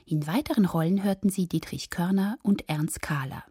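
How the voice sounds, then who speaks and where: Very husky, mellow woman radio announcer. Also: fairly low pitch, moderate pace, no echo, clean studio sound. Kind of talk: scripted radio credits announcement.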